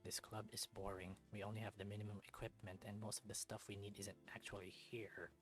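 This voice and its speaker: A performer voicing a sardonic, complaining young male husky student in a visual novel. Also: low-pitched, level tone, brisk, soft background music, natural voice. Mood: bored. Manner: dry, complaining.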